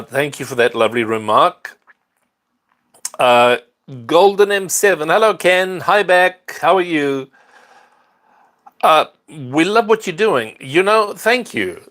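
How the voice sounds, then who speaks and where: An older man from South Africa, hosting a casual livestream and chatting earnestly with viewers. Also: fairly high pitch. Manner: unhurried, earnest, warm greetings to viewers. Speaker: livestream host.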